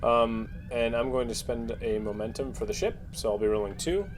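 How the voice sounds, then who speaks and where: A man of average pitch talks casually at a role-playing game table. He speaks as a player working out his moves, with clear noise behind his voice. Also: normal speed. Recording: clearly noisy background.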